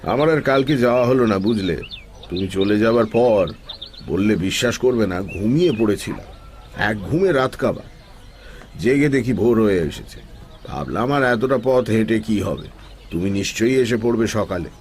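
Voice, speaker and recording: A sly old village holy man, acted by a man for an audio story, with a low voice. He chats placidly and without hurry, as if nothing matters. Noisy ambience with birds sits behind him. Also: lively intonation, a little mellow, natural voice. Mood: genial, nonchalant. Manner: unhurried, chatty, nonchalant. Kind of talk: Bengali audio story acting.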